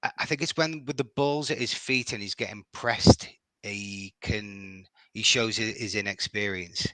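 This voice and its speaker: A man's voice: lowish voice, steady tone, unhurried, a bit bright, no echo, rough recording. A middle-aged man from Liverpool with a Liverpool accent, chatting as a podcast guest, hesitant and often repeating words. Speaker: guest fan. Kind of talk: casual podcast chat.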